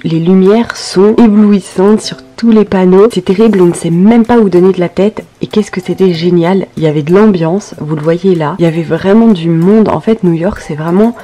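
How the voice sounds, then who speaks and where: A woman's voice: lowish voice, moderate pace, few pauses, warm tone. A woman narrating her travel vlog, chatty and enthusiastic, giving tips to her viewers. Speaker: travel vlogger. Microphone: basic built-in mic.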